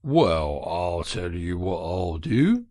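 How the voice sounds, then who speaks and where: A man's voice: sits low, sing-song, slow pace, dark tone, no echo, studio quality, voice pushed down, full character voice. A man voicing a burly, good-natured engine driver, blunt and folksy, in an audiobook. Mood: friendly bargaining. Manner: blunt, folksy, matter-of-fact. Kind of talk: audiobook character voice.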